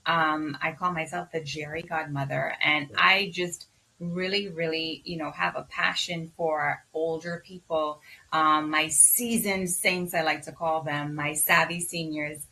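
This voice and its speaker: Bahamian-accented woman, doctor guest; low, bright tone, lively with fillers. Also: measured pace, low-quality recording. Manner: lively, many ums, repeats words for emphasis. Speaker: physician guest. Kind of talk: casual interview talk.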